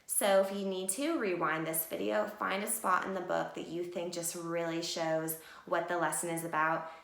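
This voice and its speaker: A woman's voice: on the low side, steady tone, moderate pace, vocal fry. A woman teacher reading a picture book to young children and explaining it simply and expressively. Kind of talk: children's read-aloud and mini lesson. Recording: echoey room.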